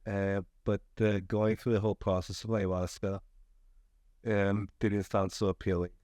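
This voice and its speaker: A man who grew up in Israel, talking as a podcast guest in a soft, hesitant way with many fillers. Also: lowish voice, flat delivery, moderate pace, dry recording, clean studio sound. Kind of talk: casual chat.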